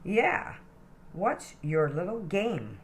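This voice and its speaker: A woman's voice: lowish voice, very animated, extremely slow, heavily acted. A woman voicing a grumpy male koala in a children's storybook, indignant and emphatic in how he talks. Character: grumpy male koala fed up with being misnamed.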